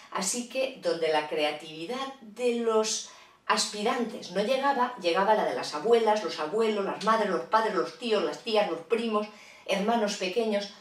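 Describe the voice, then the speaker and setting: A woman tells a children's fairy tale, lively and expressive, with warm asides to her young listeners. Her voice sits in a middle pitch and sounds a little away the microphone. The room gives it a clear roomy echo. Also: far from the mic. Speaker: storyteller. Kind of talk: children's storytelling.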